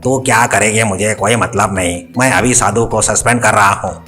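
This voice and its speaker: A man's voice: lowish voice, bright, forceful tone. A man voicing a strict, authoritative police superintendent in a cartoon story. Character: strict male police superintendent giving orders. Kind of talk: cartoon moral story voice acting.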